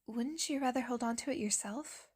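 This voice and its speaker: A woman's voice: fairly high pitch, moderate pace, breathy edge. A woman playing a curious, questioning guard in an audio drama.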